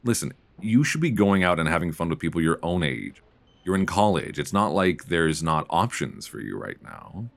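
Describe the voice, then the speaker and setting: A man playing a mild-mannered, flirtatious middle-aged librarian who can turn quietly commanding, in an intimate, conversational ASMR roleplay on a close mic. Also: low voice, sing-song, moderate pace, vocal fry, heavily acted. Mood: gently reluctant. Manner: conversational, hesitant, teasing. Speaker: audio roleplay performer. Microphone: close binaural microphone.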